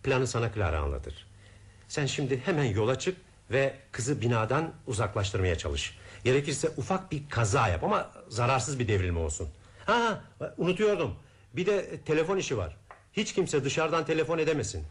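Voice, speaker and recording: An actor playing a shrewd, scheming male investigator in a Turkish radio drama, polite and guarded, with deliberate phrasing. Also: medium pitch, big pitch swings, lightly acted. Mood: brisk, commanding. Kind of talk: Turkish radio theater acting.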